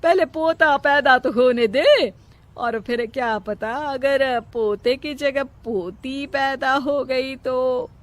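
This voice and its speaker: A woman's voice: high voice, slow, no room sound. A woman voicing a sceptical, teasing neighbour woman in a cartoon moral story. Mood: teasing doubt. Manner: needling, doubtful.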